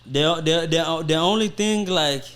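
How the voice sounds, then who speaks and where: A man's voice: fairly high pitch, a little slow, a bit bright. A man arguing on a podcast, tripping over restarts and cutting in over his co-host. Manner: stammering restarts, talks over her. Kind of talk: casual debate chat.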